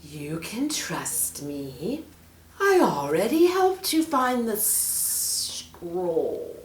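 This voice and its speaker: Woman voicing a slow, hissing, sinister male snake. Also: on the low side, sing-song, echoey room, distant mic, heavily acted. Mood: coaxing. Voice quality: hushed, breathy hiss. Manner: slow, drawn-out, sinister delivery. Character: sly, menacing male snake, falsely caring.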